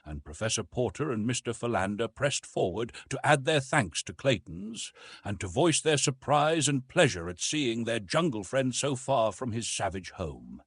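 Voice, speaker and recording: A man's voice: medium pitch, sing-song, moderate pace, husky, no echo, studio quality. A man reading an adventure novel as a narrator, in a dramatic scripted style. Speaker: audiobook narrator.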